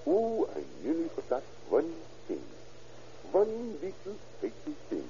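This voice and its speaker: A man's voice: a little high, wildly swooping pitch, a little slow, warm tone. An actor playing a scheming, gloating German doctor who murders his friend, with a German accent and a cackling laugh, in an old radio drama. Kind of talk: 1940s radio acting. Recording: muffled old radio recording with faint hiss.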